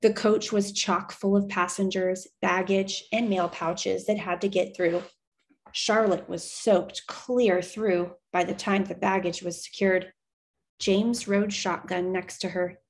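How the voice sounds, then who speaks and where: A woman reading a children's novel aloud as an expressive storyteller. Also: medium pitch, slow.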